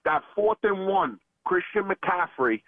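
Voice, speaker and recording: A man's voice: high-pitched, expressive, slow, no room sound. Tinny phone-line New man, emphatic caller. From New York. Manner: emphatic, punchy, repetitive. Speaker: sports radio caller. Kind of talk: casual sports fan opinion. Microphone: telephone.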